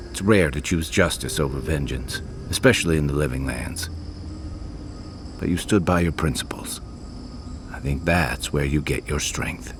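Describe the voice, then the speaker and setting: A man voicing a loyal, weathered seafaring soldier in a video game, sincere and measured. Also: extremely low pitch.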